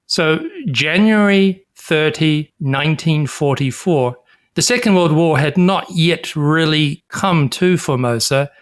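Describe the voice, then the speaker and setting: A man's voice: on the high side, slow pace, clear, resonant tone. A man co-hosting a history podcast, thoughtful and wry. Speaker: podcast co-host. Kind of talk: casual chat.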